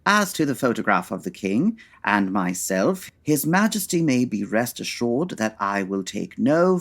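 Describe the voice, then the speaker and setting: A man playing a brilliant, proud detective in old-time radio drama style, formal and articulate. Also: fairly low pitch.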